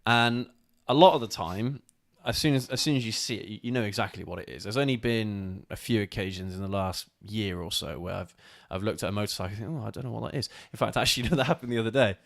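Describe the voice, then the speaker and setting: A man's voice: on the low side, quick pace, vocal fry, hardly any pauses, a bit bright, dry recording, studio quality. A man co-hosting a casual motorcycle live stream with his wife, greeting viewers by name.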